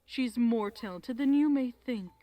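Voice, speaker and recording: A woman plays a regal, motherly pony princess with a slightly high voice. Her speech is measured and formal, gentle in the manner of an older mentor, with music clearly behind it. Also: natural voice. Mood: grave, caring.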